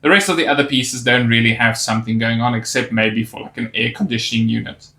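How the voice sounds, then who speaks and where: A man's voice: mid-range voice, steady tone, quick pace, bright, forceful tone. A man narrating a casual toy set review, pointing out details with easy enthusiasm. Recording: roomy echo on the voice.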